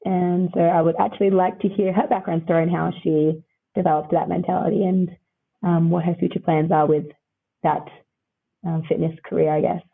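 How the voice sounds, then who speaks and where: A woman with a croaky voice, chatting upbeat as a podcast guest and laughing often between answers. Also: fairly low pitch, steady tone, moderate pace. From Australia. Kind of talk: casual interview chat. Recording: tinny phone-like line.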